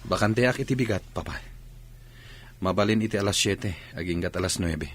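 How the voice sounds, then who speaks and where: A man's voice: low voice, moderate pace, close to an everyday voice. A man with an Ilocano accent playing a respectful, eager student son in a radio drama. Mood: eager, polite.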